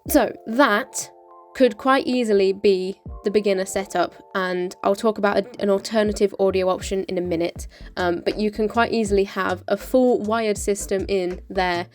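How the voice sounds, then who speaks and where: A British woman presents a casual gear explainer in a chatty, practical way. Her voice sits at an ordinary mid pitch for a woman. Soft music plays behind her. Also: fairly even intonation, moderate pace. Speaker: motorcycle vlogger presenting.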